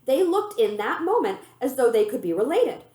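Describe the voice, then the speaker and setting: A woman reading a children's novel aloud as an expressive, animated storyteller. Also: sits high, moderate pace. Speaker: librarian reading a book aloud. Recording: roomy, echoey room sound.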